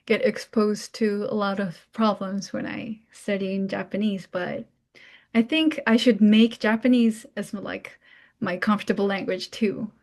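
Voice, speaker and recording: A young woman from Mongolia speaking casually as a guest, in informal English peppered with "like". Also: mid-range voice. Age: young adult. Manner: informal, frequent "like". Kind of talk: casual question-and-answer talk in English.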